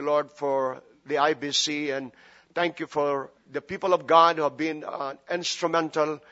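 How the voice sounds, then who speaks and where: A man's voice: a little high, a bit bright, dull audio. A man from the Philippines with a Filipino accent, preaching a sermon and praying as a guest pastor, chatty with joking asides and many fillers. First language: Filipino language. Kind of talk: sermon with prayer and humor.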